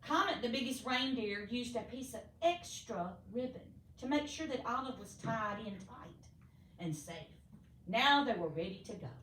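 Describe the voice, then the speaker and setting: A woman reading a children's storybook aloud expressively, with theatrical, deliberate emphasis for young listeners. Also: a little high, lively intonation, fairly bright tone. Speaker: storybook reader. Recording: echoey room, distant mic, faint noise.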